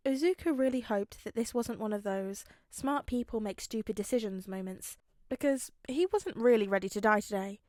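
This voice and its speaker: A woman narrating fan fiction as a podfic reader, in an expressive storytelling style. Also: a little high, moderate pace, few pauses, no echo, clean studio sound.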